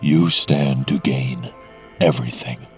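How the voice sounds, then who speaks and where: An actor voicing a cold, patient villain in a video game, deliberate, threatening and taunting. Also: male voice, a bass voice, steady tone, vocal fry, darkish tone, faint music behind, full character voice. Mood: cold menace. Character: menacing, coldly patient male villain offering deals. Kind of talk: video game character dialogue.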